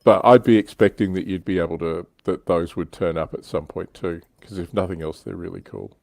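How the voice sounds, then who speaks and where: A man's voice: low voice, animated, fairly quick, creaky, a little mellow, no echo. A man hosting a casual hobby livestream, reading out comments and chatting in an informal, wry way. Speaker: livestream host.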